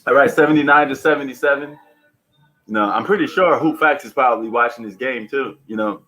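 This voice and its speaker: A man's voice: medium pitch, a little fast, bright, forceful tone, rough recording. A man hosting a live sports stream, chatting casually with slangy, bantering commentary. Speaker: livestream sports host.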